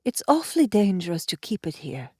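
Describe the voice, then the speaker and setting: A woman playing a cold, no-nonsense warrior in an audiobook drama, speaking flatly, firmly and curtly. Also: on the low side, a little fast, breathy edge, no echo, clean studio sound. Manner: flat, firm, curt. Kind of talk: cinematic audiobook character acting.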